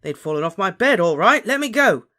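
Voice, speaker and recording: A man voicing a dazed, lovesick teenage boy wizard in a breathy, hoarse voice for a storybook. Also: a little high, animated, heavily acted. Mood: furious. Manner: slow, dreamy, drawn-out delivery.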